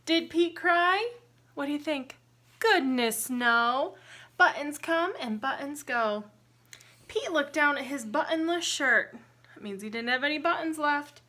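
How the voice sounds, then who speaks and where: Bright, slow-paced woman reading to children. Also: sits high. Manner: sing-song, expressive, simple phrasing with questions to young listeners. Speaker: teacher reading a picture book aloud to young children. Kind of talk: interactive children's storybook read-aloud with counting and singing.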